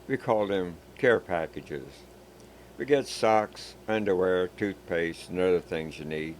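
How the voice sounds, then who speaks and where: A man reading a first-person war story in a calm, measured, plain-spoken way. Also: low voice, slight background noise, poor audio. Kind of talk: scripted first-person narration. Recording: background music.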